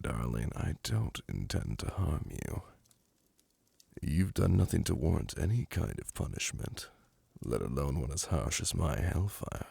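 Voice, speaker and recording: A man voicing a suave, flirtatious, lordly demon prince in an ASMR roleplay, purring teasing endearments in a languid, imperious manner with a gravelly, breathy voice. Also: a bass voice, darkish tone, dry recording, heavily acted. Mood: soothing, teasing. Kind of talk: ASMR roleplay audio drama. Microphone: close, dry microphone.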